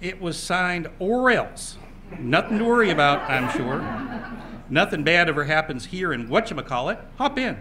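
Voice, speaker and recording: An actor playing a breezy, naive small-town newspaper reporter with a folksy manner in a comic audio drama. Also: male voice, a little high, big pitch swings, normal speed. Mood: casual. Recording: noticeable background noise.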